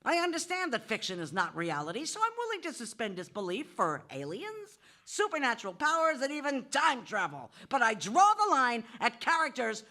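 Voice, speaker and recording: An actress plays a sharp-tongued woman banker who lectures and scoffs at every plan. Her bright, lively voice rises high as she rattles off objections. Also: moderate pace, hardly any pauses, heavily acted. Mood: exasperated. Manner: exasperated, lecturing, sarcastic. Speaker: actor in a live audio play. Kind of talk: live stage audio drama.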